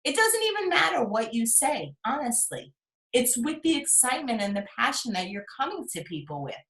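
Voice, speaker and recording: An energetic woman leads her team through a motivational video call, throwing out rhetorical questions as she coaches. Her voice carries a roomy echo from the room around her. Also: on the high side, normal speed.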